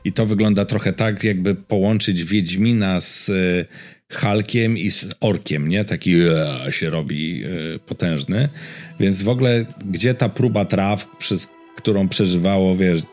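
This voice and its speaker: A man's voice: low-pitched, unhurried, a little mellow, faint music behind. A man chatting casually as a podcast co-host about games and series, in an informal, slangy, rambling storytelling way.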